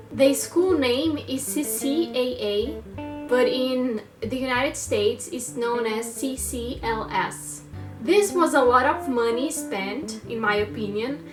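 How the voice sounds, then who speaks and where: A young woman with a Brazilian Portuguese accent talks through her thoughts in an earnest, reflective way, pausing now and then and phrasing things as a non-native speaker would. Her voice sits a little high. The room echoes around her, and plucked guitar music plays clearly behind her. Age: 20s. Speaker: vlogger. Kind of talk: personal monologue vlog. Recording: roomy echo with guitar music.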